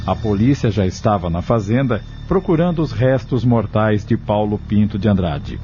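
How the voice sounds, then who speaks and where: A man's voice: on the low side, lively intonation, moderate pace, dark tone. A man with a Brazilian accent reading scripted narration for an audio drama. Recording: dull audio with music underneath. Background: music.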